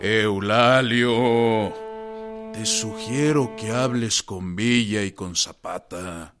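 Frank, firm police chief. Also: male voice, fairly low pitch, very slow, over music. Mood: urgent, warning. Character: frank police chief advising a troubled president. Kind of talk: historical radio drama acting.

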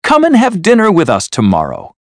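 A man voicing a cheerful, warm-hearted young nephew in a storybook, earnest and friendly. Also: fairly high pitch, huge pitch swings, fairly quick, no echo, voice pushed up. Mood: pleading. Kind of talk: storybook character voice.

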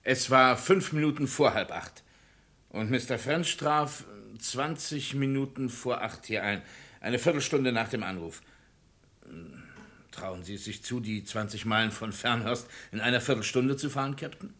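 A man's voice: medium pitch, unhurried, a bit bright, natural voice. A man acting a blunt, testy witness in a crime radio play, with a precise way of speaking. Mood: precise, pointed.

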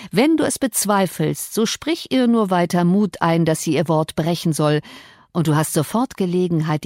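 A woman's voice: fairly low pitch, a little mellow, dry recording, lightly acted. A cruel, scornful tyrant of a man, as a woman reader puts him on in an audiobook, talking with a sneer and open menace. The sound is close and dry, with no room around it. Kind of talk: audiobook character voice.

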